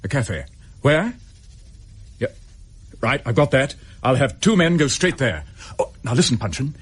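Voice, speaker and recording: Husky English police inspector. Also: male voice, mid-range voice, sing-song, measured pace, heavily acted. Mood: brisk, urgent. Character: frustrated, dutiful police chief inspector. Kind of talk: radio drama dialogue.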